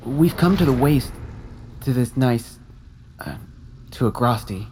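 A man's voice: mid-range voice, animated, measured pace, background noise, pitched above the performer's own voice. A man voicing a bewildered, earnest young boy in an audiobook, speaking hesitantly.

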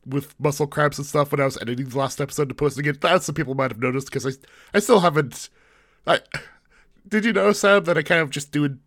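A man's voice: on the high side, a bit bright, no room sound, studio quality. Congested man rambling on a podcast. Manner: rambling, self-interrupting, jokey. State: congested from flu. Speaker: podcast co-host. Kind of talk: casual chat.

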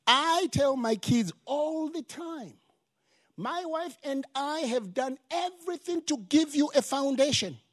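An older male pastor with a Zimbabwean accent preaches with emphatic force. His voice sits high for a man and climbs further when he drives a point home. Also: lively intonation, unhurried. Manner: emphatic preaching with rising exclamations. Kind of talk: sermon.

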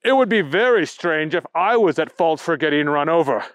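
Man playing a high-pitched, indignant, pompous storybook bunny. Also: lively intonation, normal speed, a bit bright, dry recording. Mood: prim, matter-of-fact. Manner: prim, formal, lecturing. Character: indignant, fussy, pompous talking Easter Bunny, male. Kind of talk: children's storytelling character voice.

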